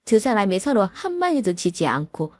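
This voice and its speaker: A woman voicing a middle-aged husband who calmly retells how his wife fought an old classmate, in polite storybook narration. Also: mid-range voice, no echo. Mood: recounting. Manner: measured, recounting in polite speech.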